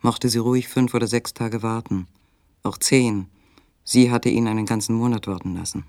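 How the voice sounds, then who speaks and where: A male actor as a detached literary narrator of a suspense radio play. His mid-range voice is measured and matter-of-fact, recorded very dry and close. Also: mid-range voice, fairly even intonation, darkish tone, no room sound, lightly acted. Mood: sober, detached. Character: sober, detached literary storyteller of a suspense tale.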